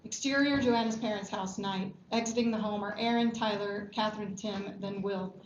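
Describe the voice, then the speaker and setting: A dull, echoing room recording of a woman narrating. She reads scripted stage directions at a table read, with a mid-range pitch. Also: steady tone, moderate pace. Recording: dull, echoey room with background noise.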